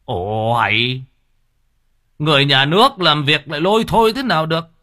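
A man's voice: a little high, expressive, moderate pace, pushed and bright, no echo, clean studio sound, voice pushed up. A man playing a corrupt, bullying market warden who sneers and barks. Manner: sneering, barking, mocking.

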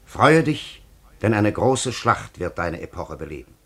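A grandiose warrior commander from another age, with sweeping intonation and a rough, husky edge. He declaims solemnly and fearlessly, like a leader exalted by his own cause. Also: lowish voice, big pitch swings, unhurried, fairly bright tone, full character voice. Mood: lofty, assured. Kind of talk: German radio play acting.

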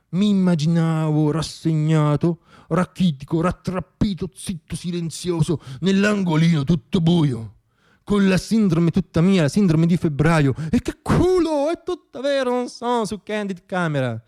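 A man's voice, a little higher than most, performs a comic radio theatre monologue. His delivery is emphatic and sardonic, turned on himself. He uses deliberate pauses and repeats words for effect. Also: a little mellow. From Italy. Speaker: monologist performing own piece.

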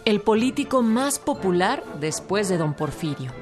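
A woman's voice: mid-range voice, very animated, moderate pace. A woman with a Mexican accent reading scripted narration for a historical radio documentary. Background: music bed.

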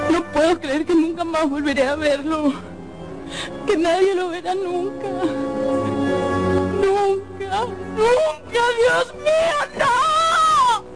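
An actress playing a proud, devoted fiancée in mourning, in an impassioned, halting radio drama delivery. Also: female voice, very high-pitched, full character voice, rough recording. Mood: anguished. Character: grieving bereaved fiancée, devoted, proud, desperate. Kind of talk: Spanish-language radio drama acting.

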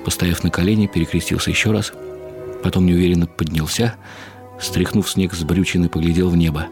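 An older man giving a reflective, expressive and unhurried dramatic reading of literary prose for radio. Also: low-pitched. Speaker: narrator. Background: music.

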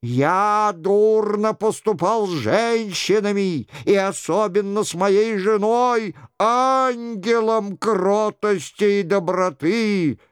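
Мужчина-чтец играет насмешливого шута, который жалобно, нараспев изображает кающегося короля. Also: male voice, smooth, dry recording, clean studio sound. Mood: plaintive. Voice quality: plaintive, high-pitched. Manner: drawn-out, exaggerated penitence. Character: mocking jester imitating the king in plaintive mock confession.

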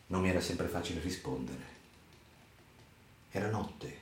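A man reads a dramatic literary narration for radio theatre in a theatrical, emphatic, measured way. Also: medium pitch, a little mellow. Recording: roomy echo with music underneath. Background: music.

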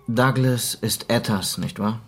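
An actor playing an earnest, concerned western hero in a German radio play, with a serious, questioning manner. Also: male voice, mid-range voice, takes it slowly, fairly bright tone, husky, full character voice.

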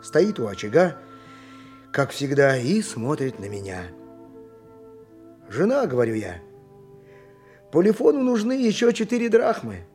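A man's voice: on the high side, huge pitch swings, unhurried, long pauses, darkish tone, soft background music. An actor playing a poor, downtrodden ancient Greek donkey driver in an audio drama, plaintive, simple and resigned, both telling and acting his story. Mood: resigned, sorrowful. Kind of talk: audio drama, dialogue mixed with first-person narration.

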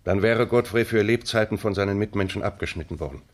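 A man's voice: on the low side, fast talker, natural voice. An actor playing a grave older colonel and protective father in a 1960s German radio drama, speaking solemnly and heavily. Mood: grave, pained.

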